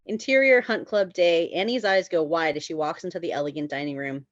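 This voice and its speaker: A woman's voice: on the low side, brisk, dry recording, muffled recording. Woman reading stage directions, dry muted sound. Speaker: narrator at a table read.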